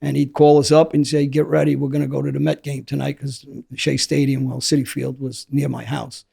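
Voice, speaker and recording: Gravelly hoarse New man. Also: mid-range voice, level tone, fairly quick, dark tone. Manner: rambling, repeats words and restarts often. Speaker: interview guest. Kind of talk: candid storytelling.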